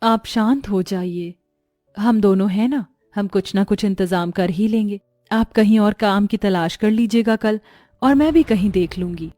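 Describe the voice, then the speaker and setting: A woman playing a gentle, worried, patient mother and wife in a moral-story cartoon. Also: medium pitch, a little slow, no room sound, clean studio sound, faint music behind, natural voice. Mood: caring.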